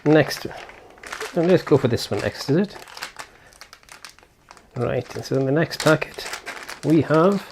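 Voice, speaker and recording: A man's voice: a little high, animated, measured pace, pauses often, slight background noise. A man giving a casual, unscripted product unboxing and setup walkthrough, thinking aloud as he goes. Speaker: video host.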